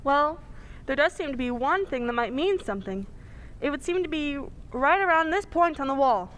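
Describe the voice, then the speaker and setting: A woman's voice: high voice, lively intonation, moderate pace, a bit bright, slight background noise. An actress playing an earnest, anxious young lady sleuth in an old-time radio melodrama. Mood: eager.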